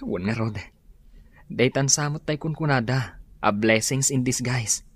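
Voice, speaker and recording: A man's voice: mid-range voice, unhurried, slightly rough. A man playing an earnest, easygoing young working-class older brother in a radio drama, with a Filipino Ilocano accent. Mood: relieved. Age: young adult.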